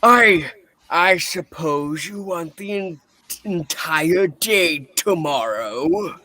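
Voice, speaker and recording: A man's voice: on the high side, lively intonation, very slow, bright, forceful tone, full character voice. A man playing a grumpy, miserly old businessman, curt and scornful, in a theatrical radio play reading. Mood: grudging, sour.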